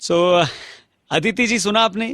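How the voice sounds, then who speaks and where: A man hosting a radio show, chatty and upbeat, a bit hoarse today. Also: sits high, slow, fairly bright tone, no room sound, clean studio sound. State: slightly hoarse. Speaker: radio host.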